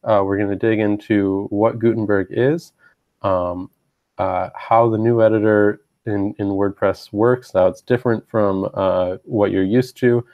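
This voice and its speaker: A low-voiced man, a bit stuffy from a cold, walks viewers through a software training over a live stream. He hesitates often, clears his throat and drops self-deprecating asides. The sound is dull and dry, like a home webinar mic in a small room. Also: fairly even intonation, a little slow, no room sound. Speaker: university web team presenter.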